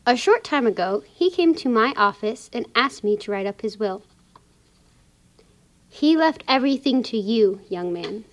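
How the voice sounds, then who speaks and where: A woman playing a kind, professional lawyer in a children's puppet show, explaining things gently to a child. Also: fairly high pitch, expressive, a little slow. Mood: kindly, explanatory.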